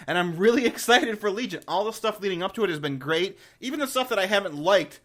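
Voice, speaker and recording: A man with a fairly high-pitched voice hosts a casual video commentary. He talks informally and with enthusiasm, in a rambling way. He often restarts and repeats words as he works through his thoughts. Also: expressive, quick pace, a bit bright. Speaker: host of a game lore review channel.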